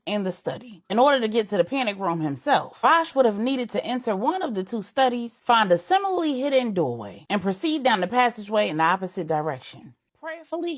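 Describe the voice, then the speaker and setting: A woman storyteller reading a dramatic scripted story, phrasing it deliberately in short units. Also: a little high. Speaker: storyteller, narrator.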